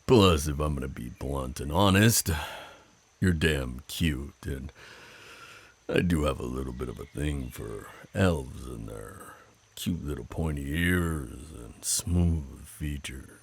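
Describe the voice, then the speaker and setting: Man acting as a male werewolf, extremely low pitch, slow drawn-out pacing, husky, teasing, protective of his forest, intimate ASMR roleplay. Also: full character voice. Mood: flirtatious, amused. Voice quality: very deep, gravelly, husky. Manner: slow, teasing, chuckling, deliberate pauses.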